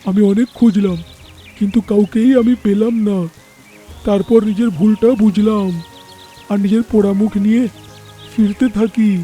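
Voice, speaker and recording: A man voicing a small, earnest, repentant male bird in an animated moral story. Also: sits high, moderate pace, long pauses, faint music behind, close to an everyday voice.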